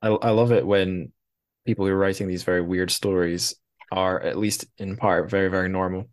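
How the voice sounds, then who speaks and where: A man's voice: sits low, fairly even intonation, moderate pace. A man hosting a casual interview podcast, musing aloud with hesitant, tentative phrasing. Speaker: podcast host.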